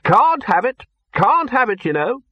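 A man reading an audiobook voices a gruff, blunt old male wizard chief who barks short phrases. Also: very high-pitched, sing-song, measured pace, no room sound, dull audio, voice pushed up, heavily acted. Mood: blustering. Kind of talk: audiobook character voice.

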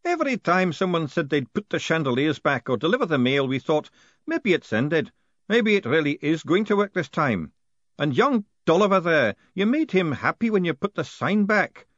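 A man's voice: on the high side, sing-song, moderate pace, a bit bright, no echo, muffled recording, voice pushed up. A man voicing a fatalistic old lodge master who talks in a weary, mournful way. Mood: gloomy, resigned.

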